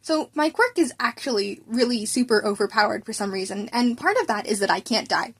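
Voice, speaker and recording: A cheeky teenage schoolboy, voiced by a woman with a high, lifted voice. He is breezy and nonchalant about his superpower, quick to protest and excitable, as in an audio storybook. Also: breathy edge. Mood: awkward, candid.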